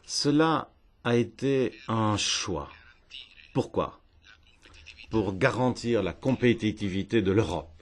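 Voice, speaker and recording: A man interpreting a political speech live in a parliament hemicycle, phrasing in halting bursts and repeating words as he catches up. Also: fairly low pitch. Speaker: simultaneous interpreter.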